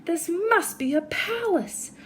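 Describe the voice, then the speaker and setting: A woman's voice: extremely high pitch, animated, takes it slowly, a bit bright, a little hiss or hum, pitched above the performer's own voice, heavily acted. A woman voicing a small, innocent toy bear from a picture book, wistful and earnest with wonder.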